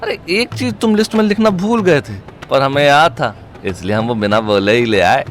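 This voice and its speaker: A man's voice: mid-range voice, lively intonation, normal speed, faint music behind, close to an everyday voice. An actor playing a crude, joking young male sidekick in an audio drama, teasing and exclamatory. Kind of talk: Hindi audio comedy-horror drama acting.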